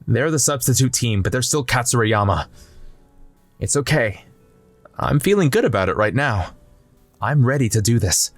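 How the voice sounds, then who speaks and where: Earnest, lively teenage boy, voiced by a man. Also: medium pitch, normal speed, pauses often, no room sound, faint music behind, lightly acted. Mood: determined. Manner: reassuring, modest. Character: earnest, determined teenage boy volleyball setter. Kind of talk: manga dub voice acting.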